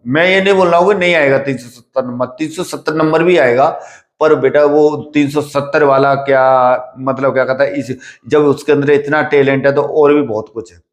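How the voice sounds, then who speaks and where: A man giving informal, instructive advice to exam candidates like a coach, repeating himself and often pausing on filler phrases. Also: mid-range voice, steady tone. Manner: repetitive, halting, with filler phrases and check-questions; instructive, paternal address to students. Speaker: coach at a physical training academy for police and army recruitment. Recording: echoey room.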